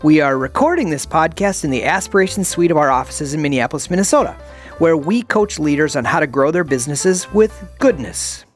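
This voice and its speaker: A man's voice: a little high, lively intonation, a little fast, faint music behind. A man co-hosting a leadership podcast as an executive coach, chatting conversationally. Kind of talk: podcast chat.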